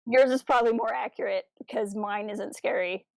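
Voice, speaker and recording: A woman's voice: on the high side, steady tone, brisk, creaky, a little mellow, dry recording. A woman co-hosting a podcast, talking through ideas in a casual, discursive way. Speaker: podcast co-host.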